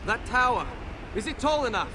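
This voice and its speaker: A man's voice: very high-pitched, expressive, normal speed, noisy background. A man playing a young, wry swordsman prince in a video game cutscene. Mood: urgent. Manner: wry, dryly joking. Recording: game soundtrack mix with loud music. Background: loud music.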